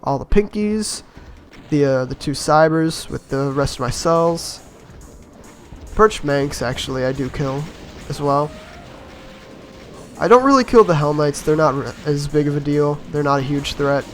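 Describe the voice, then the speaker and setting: A man with a slightly raspy voice from being a bit sick, giving easygoing, rambling voice-over commentary on his own game run and laughing at his own mistakes. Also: on the high side, unhurried, faint music behind. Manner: easygoing, rambling, self-mocking with chuckles. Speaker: gameplay commentator.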